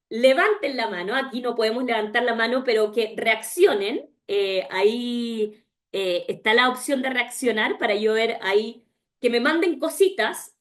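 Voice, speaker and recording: A woman with a Chilean accent speaks over a video call, her voice sitting a little high. She leads a webinar in an engaged way, posing questions to listeners and checking in with quick tags. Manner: engaged, asks rhetorical questions, often tags "¿ya?". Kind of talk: presenting a webinar.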